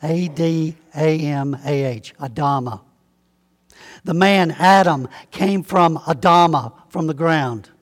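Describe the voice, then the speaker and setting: Southern pastor preaching in a reverberant hall. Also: male voice, a little high. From Alabama, US. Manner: folksy, conversational preaching with slow emphatic spelling-out. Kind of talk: sermon.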